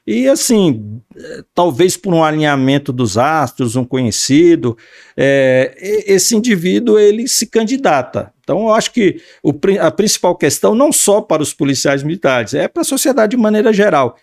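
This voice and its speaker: A man's voice: a little high, very animated, moderate pace, gravelly, a little mellow, no echo, clean studio sound. A man hosting an interview podcast, earnest and formal, with many fillers. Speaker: podcast host.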